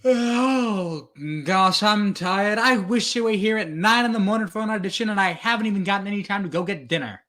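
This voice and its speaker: A man's voice: high voice, fairly quick, few pauses, bright, forceful tone. A man playing a tired, grumbling, sarcastic radio actor in a 1940s-style radio play. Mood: weary grumbling. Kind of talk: old-time 1940s radio play acting.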